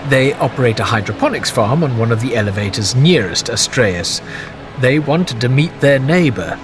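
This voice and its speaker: A man's voice: medium pitch, lively intonation, music in the background, lightly acted. A man playing a fussy, wisecracking court chamberlain. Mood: wry. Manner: fussy, evasive, wry, quick quips. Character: nervous, quick-witted male court chamberlain. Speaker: chamberlain, guide. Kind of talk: audio drama acting.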